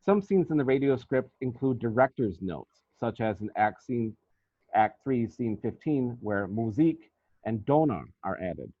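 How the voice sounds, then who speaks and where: A man presenting academic research as a scripted lecture, reading formally and deliberately. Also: mid-range voice, lively intonation, dry recording. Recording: thin, phone-like band-limited audio.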